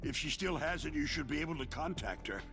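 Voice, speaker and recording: An actor playing a battered, rattled older arms-industry boss held prisoner in a video game, stammering in a strained, gravelly voice. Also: male voice, a little high, expressive. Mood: weary. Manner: halting, stammering, agitated. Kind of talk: video game cutscene acting. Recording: game audio with music underneath. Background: cutscene music.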